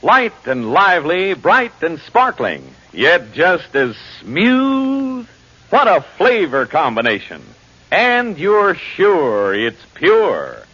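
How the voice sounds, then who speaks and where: A man's voice: a little high, wildly swooping pitch, slow pace, sharp tone, slightly rough. A man delivering advertising slogans as a 1940s radio announcer. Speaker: commercial announcer. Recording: dull old radio recording.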